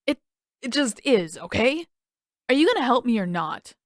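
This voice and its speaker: A woman's voice: fairly high pitch, very animated, normal speed, no echo, clean studio sound, pitched above the performer's own voice. A woman voicing a whiny, indignant teenage boy with sputtering protests, in a story reading.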